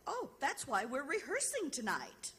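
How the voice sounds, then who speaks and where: An actress playing a gracious, busy opera singer in an old-time radio drama, polite and genteel. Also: female voice, high voice, huge pitch swings, a little slow, fairly bright tone, heavily acted. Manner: polite, genteel hostess manner. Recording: noisy vintage radio recording.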